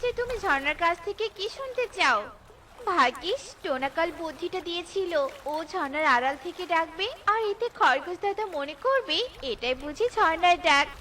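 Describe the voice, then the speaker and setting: A woman's voice: extremely high pitch, expressive, moderate pace, sharp tone, slight background noise, exaggerated voice. A woman voicing a kind, helpful little female bird in a cartoon, with a chirpy, earnest and slightly fretful manner. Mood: eager. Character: kind, helpful little female tailorbird, married, eager to please.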